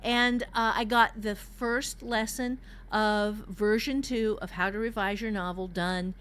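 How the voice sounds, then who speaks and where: A woman's voice: mid-range voice, fairly even intonation, unhurried, fairly bright tone, smooth. Candid older woman chatting on a podcast. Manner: candid, emphatic, salty-tongued storytelling. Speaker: author and writing teacher, podcast co-host. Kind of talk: casual podcast chat.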